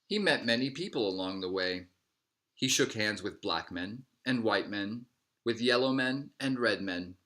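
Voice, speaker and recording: A man reading a picture book aloud as a narrator, in measured phrases that settle at the ends. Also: lowish voice, animated, bright, forceful tone. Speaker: storybook reader.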